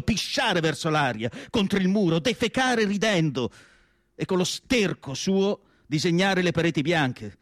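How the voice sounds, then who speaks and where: A man reciting literary prose as a radio theatre monologue, solemn and declamatory, with deliberate phrasing and dramatic pauses. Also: on the high side, no room sound, clean studio sound.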